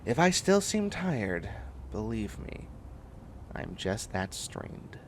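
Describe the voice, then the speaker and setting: A man's voice: lowish voice, very animated, slow, noisy background, full character voice. A man playing a tired, prickly, bookish biotech student in a sci-fi comedy audio roleplay, with a wry, fussy, rambling delivery. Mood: weary, wry. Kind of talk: scripted comedic audio roleplay, one side of a conversation.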